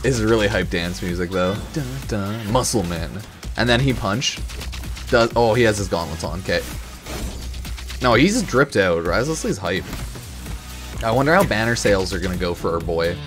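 A man gives casual, excited reaction commentary in a fairly low voice that moves around a lot. His talk is slangy and full of exclamations. Game music plays faintly behind him. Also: normal speed. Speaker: reaction video host. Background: game trailer music and sound.

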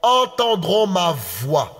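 A man's voice: high-pitched, big pitch swings, takes it slowly, fairly bright tone. A man delivering a prophetic utterance, with intense, repetitive declaiming and speaking in tongues. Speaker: prophetic preacher. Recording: long hall reverb, faint music behind.

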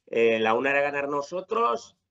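A man's voice: medium pitch, big pitch swings, moderate pace, a bit bright. A man from Spain, a guest on a podcast, talking casually over a video call with many fillers and restarts. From Spain, born in Zaragoza, raised in Girona. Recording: slightly dull, lo-fi call audio.

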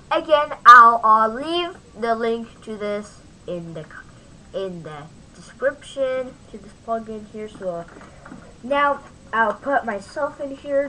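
High, bright-voiced woman hosting a tutorial. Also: slight background noise, poor audio. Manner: informal, halting, self-interrupting, talks to self. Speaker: tutorial host. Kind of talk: casual screen-recorded software tutorial. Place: at home, at a computer.

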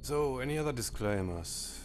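A man whose first language is German, talking over a game menu in halting phrases that break off mid-sentence. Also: mid-range voice, expressive. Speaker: let's play commentator. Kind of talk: game commentary with disclaimers. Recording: faint game menu music behind.

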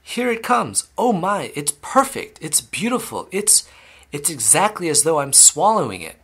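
A man voicing a stubborn, boastful young girl in a children's story, with an excited, insistent manner. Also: on the high side, normal speed, breathy edge, voice pushed up, full character voice. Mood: rapturous.

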